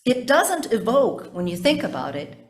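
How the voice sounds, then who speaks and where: A woman pastor preaching a sermon in measured, deliberate phrases, with repetition and conversational asides to the congregation. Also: a little high, sing-song.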